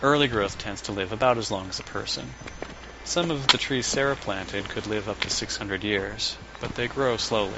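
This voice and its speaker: A man's voice: on the low side, normal speed, close to an everyday voice. A man acting as a blunt, sardonic therapist with a dry, probing way of talking in an audio drama. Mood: reflective. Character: blunt, sardonic, unorthodox therapist man, older than he looks. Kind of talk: audio drama dialogue and dictated case notes. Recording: muffled with loud background noise.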